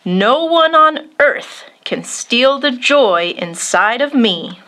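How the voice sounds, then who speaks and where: A woman hosting a children's storytime, reading a picture book aloud in an expressive, measured way addressed to young listeners. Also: high-pitched.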